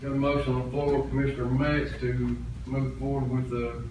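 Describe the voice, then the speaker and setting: A man's voice: mid-range voice, monotone, normal speed. A man chairing a public meeting in formal procedural style. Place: indoor public meeting room. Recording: very echoey room, distant mic, noisy.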